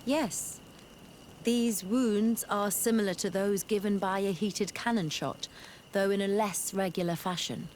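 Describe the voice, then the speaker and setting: An actress playing a scholarly, detached physician in a video game, formal and exact in speech. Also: female voice, medium pitch, unhurried, a little mellow, slight background noise, close to an everyday voice. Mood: clinical, assured. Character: clinical, scholarly female physician, detached and precise. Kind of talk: video game cutscene acting.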